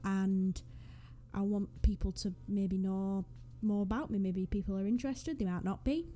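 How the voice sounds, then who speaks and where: A young woman with a Northwest English accent talks into a desk mic in a mellow, warm tone. She chats in a loose, rambling way with self-deprecating asides, at a mid pitch. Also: moderate pace. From northwest England. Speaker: Sims YouTuber. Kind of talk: casual voice-over chat over a speed build. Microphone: USB desk microphone.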